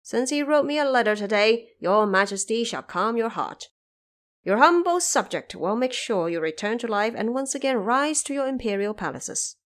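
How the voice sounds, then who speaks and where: A woman's voice: on the high side, lively intonation, moderate pace, close to an everyday voice. Woman playing a humble, courtly ghost judge of the underworld. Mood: pleased, reassuring. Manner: formal, deferential courtly speech. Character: deceased male underworld judge, humble, deferential, courtly official. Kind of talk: audio drama acting.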